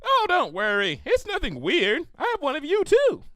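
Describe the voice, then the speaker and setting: A man voicing a bubbly, silly young female cartoon pony, chirpy and excitable, in a story reading. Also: extremely high pitch, moderate pace, no echo, clean studio sound, pitched above the performer's own voice, heavily acted. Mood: cheerful, eager.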